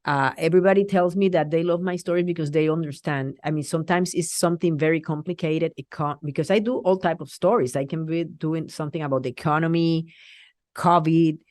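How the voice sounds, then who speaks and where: A woman from Rico speaks English, in a low, dry voice. She is a middle-aged TV journalist, lively and halting as she restarts her sentences in a casual interview. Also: dry recording. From Puerto Rico. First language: Spanish. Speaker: TV journalist guest.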